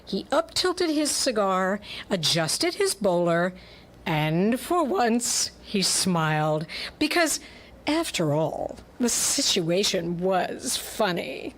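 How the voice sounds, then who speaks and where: Slow-paced woman narrating a radio drama. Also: mid-range voice, sing-song.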